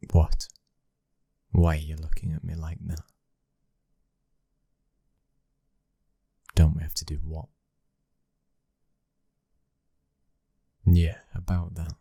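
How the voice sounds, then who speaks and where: A British man acting a cold, dominant young mafia heir and protective new husband in an ASMR roleplay, speaking in a low, husky hush, slow and commanding. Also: no echo, heavily acted. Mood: softer, reassuring.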